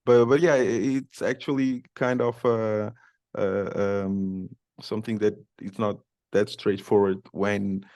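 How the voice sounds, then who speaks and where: A man's voice: on the low side, level tone, a little slow, darkish tone, no room sound. A man from Cape Verde, a podcast guest, talking casually and hesitantly, with many fillers and restarts. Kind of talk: casual interview.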